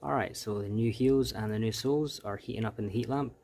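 A man's voice: lowish voice, fast, slight background noise. Chatty Scottish cobbler in his thirties. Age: 30s. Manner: relaxed, chatty, addresses viewers as guys. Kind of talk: casual workshop vlog. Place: small shoe repair shop, indoors.

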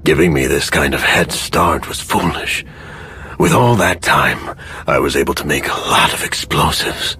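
A man playing a smug, menacing video game villain with a raspy voice and a taunting, self-satisfied manner. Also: animated, a little slow, bright, forceful tone, slight background noise, full character voice. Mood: smug, threatening. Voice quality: very deep, gravelly rasp. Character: smug, menacing villain, cunning bomb-maker.

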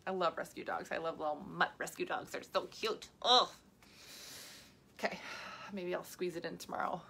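A woman's voice: lowish voice, steady tone, normal speed, creaky, pushed and bright, slightly rough. A woman in her thirties, a health coach, chatting casually to viewers on a live video with many asides and restarts.

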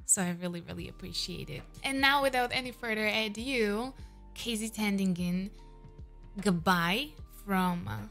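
A woman in her thirties, a vocal coach, reacting to a song in an excited, gushing way with lots of "uh" fillers, speaking English as a non-native speaker, close to a studio mic at home. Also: mid-range voice, normal speed, creaky, faint music behind. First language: not English. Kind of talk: casual reaction commentary. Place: home room with shelves, indoors. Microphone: large studio condenser mic close up.

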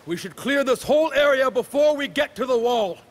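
An actor playing a brisk, resolute Trojan soldier commander in a dramatic video game. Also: male voice, extremely high pitch, lively intonation. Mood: urgent.

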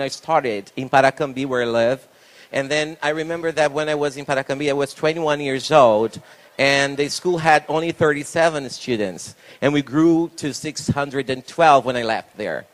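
Middle-aged man, Portuguese native speaker, easygoing guest, talks into a handheld mic, slightly high voice. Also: normal speed. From Brazil. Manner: relaxed, laughs easily, many fillers like "you know". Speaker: guest, school owner and pastor. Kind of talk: interview in English. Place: indoor talk show stage on a sofa.

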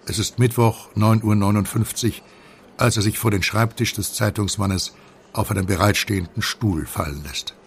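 An actor playing the narrator of a conspiracy thriller audio drama, telling the story in a scripted present tense. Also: male voice, fairly low pitch, steady tone, normal speed. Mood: measured, suspenseful. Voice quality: gravelly, creaky. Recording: studio narration over noisy ambience.

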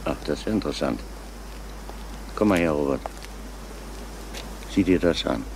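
Crime radio play: thoughtful middle-aged inspector, lively melody, faint background noise. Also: male voice, extremely low pitch, exaggerated voice. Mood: observant. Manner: measured, thoughtful. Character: calm, seasoned police inspector. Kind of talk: German crime radio drama acting. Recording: faintly noisy old radio recording.

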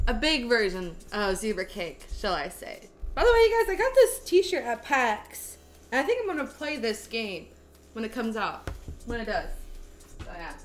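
A woman with a raspy voice hosting a casual cooking livestream, bubbly and laughing as she greets viewers one by one. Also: on the high side. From Texas. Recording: faint music behind the voice. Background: faint background music.

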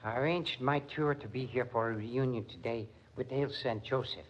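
An actor playing a suave, defiant concert musician with a Continental European accent and formal phrasing, in 1940s radio style. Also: male voice, medium pitch, slight background noise, natural voice. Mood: suave, defensive. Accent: foreign, Continental European. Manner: formal, measured foreign phrasing. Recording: muffled 1940s radio recording.

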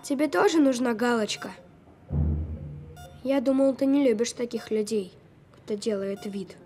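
A woman voicing a skeptical, sharp-tongued sick young boy in an audio drama, precocious and needling. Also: a little high, a little slow, slightly rough, faint music behind. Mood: challenging.

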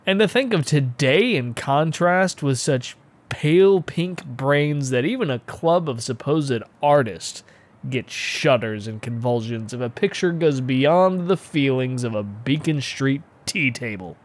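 A man's voice: mid-range voice, animated, unhurried. A man reading a horror story aloud as an impassioned, scornful, secretive painter obsessed with macabre antiquity, in a theatrical, emphatic manner. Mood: scornful, insistent. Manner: theatrical, emphatic, declamatory, with exclamations. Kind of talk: dramatic audiobook reading of horror fiction.

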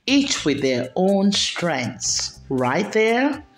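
A woman reading a children's picture book aloud, expressively and with deliberate enunciation. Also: medium pitch, very animated. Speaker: storyteller. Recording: roomy echo, background music. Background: music.

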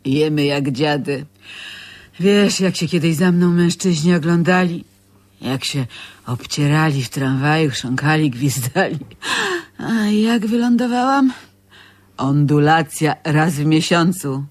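An older actress playing a wistful, complaining sister in a radio drama, with a plaintive, theatrically reproachful manner. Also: female voice, deep voice, a little slow, a little mellow. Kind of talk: naturalistic radio drama acting.

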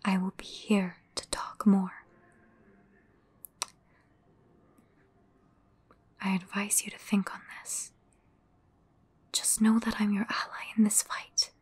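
A woman playing a cryptic, foreboding seer in an audio roleplay, with a husky, breathy voice and measured, earnest delivery. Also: mid-range voice, level tone, a little mellow, whispered. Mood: earnest, grave. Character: cryptic, foreboding female seer offering grave warnings.

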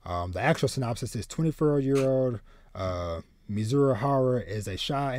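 A man in his thirties chatting casually as a podcast host, haltingly, often repeating words as he goes. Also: on the low side, darkish tone, no room sound. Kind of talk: casual chat about manga and anime. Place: small home room with bookshelves.

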